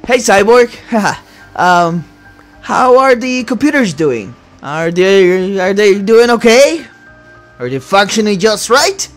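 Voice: mid-range voice, expressive, slow, faint music behind. A performer voicing an anxious, paranoid young male superhero leader in a Minecraft roleplay, worried and hesitant.

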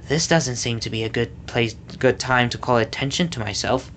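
A wary, puzzled young boy from a storybook, voiced by the narrator. He speaks softly and slowly, as if thinking aloud. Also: medium pitch, slight background noise, natural voice. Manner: soft, muttered inner thoughts.

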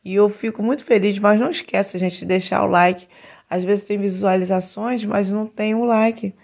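A woman's voice: medium pitch, steady tone, normal speed, gravelly, a little mellow. A woman talking casually to her viewers while unboxing a dishwasher in her home kitchen, which is under renovation, recorded on a phone camera. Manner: chatty, repeatedly says "gente", talks to viewers while unboxing. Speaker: home vlogger.

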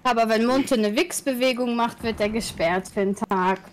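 A woman's voice: fairly high pitch, normal speed. A woman chatting casually and teasingly with friends in a voice chat. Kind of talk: casual group voice chat over gameplay.

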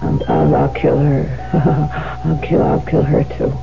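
An actress playing a haunted, guilt-ridden widow in a 1970s radio drama, anxious and halting. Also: female voice, low-pitched, flat delivery, vocal fry, over music, full character voice. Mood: feverish, dreaming. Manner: halting, breaking off, murmuring. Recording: dull lo-fi 1970s radio broadcast.

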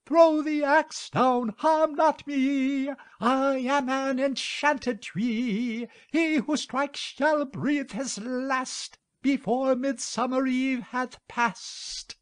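A man voicing a gentle, earnest young maiden from a storybook. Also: vocal fry, no room sound, clean studio sound, exaggerated voice. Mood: eerie sung warning. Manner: high, pleading or slow chanting. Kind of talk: storybook character acting.

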